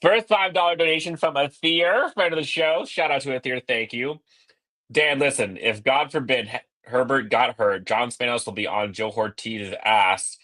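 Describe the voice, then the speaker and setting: A man in his thirties co-hosting a sports podcast from a hotel business center over a laptop call, a little hoarse, quick and joking. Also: medium pitch, pushed and bright. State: slightly hoarse voice. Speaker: podcast co-host. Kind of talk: casual sports podcast chat. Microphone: laptop on video call.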